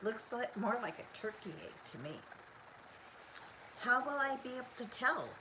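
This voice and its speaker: A woman's voice: fairly high pitch, big pitch swings, normal speed, noisy background, voice pushed up. An older woman voicing gossipy, doubting female farmyard ducks in a storybook, chatty and matter-of-fact. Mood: worried.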